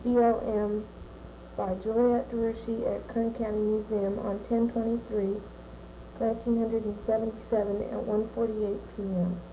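A woman's voice: on the high side, level tone, normal speed, dark tone, a little hiss or hum, low-quality recording. A woman conducting an oral history interview, asking hesitant questions with fillers. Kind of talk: reading intro, asking questions.

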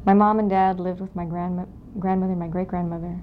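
A woman in her forties from Los Angeles, recalling her family history in an oral history interview, speaking in a reflective, halting way with many pauses. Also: fairly low pitch, little pitch movement. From Los Angeles, California. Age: 40s. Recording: dull lo-fi 1992 tape with faint hiss.

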